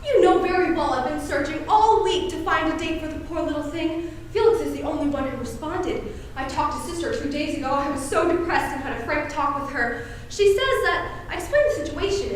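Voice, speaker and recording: A very high-pitched, fussy genteel aunt played by a woman, chatty and insistent in a theatrical stage manner. She sounds far from the microphone in a heavily echoing space. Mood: fretful, insistent. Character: fussy, nagging, genteel aunt and exasperated wife. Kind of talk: amateur stage play acting. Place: stage of a school performance space. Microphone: distant camera microphone. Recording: very echoey, distant stage recording with noise.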